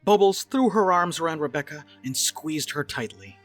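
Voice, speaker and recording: A woman's voice: deep voice, expressive, moderate pace, soft background music. A woman reading scripted narration and stage directions for a dramatic reading.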